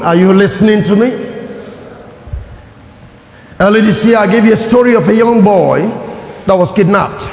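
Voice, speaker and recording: High-voiced Nigerian preacher in echoey hall. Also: male voice, gravelly. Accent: Nigerian English. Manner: animated preaching, calls for audience response. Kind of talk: sermon. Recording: echoey hall, dull lo-fi sound.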